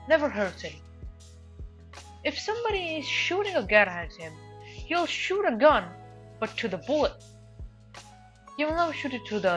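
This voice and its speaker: A woman's voice: mid-range voice, a bit bright. Woman giving emphatic anime commentary over music. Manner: emphatic, repeats phrases for emphasis. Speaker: anime reviewer. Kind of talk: informal video essay commentary.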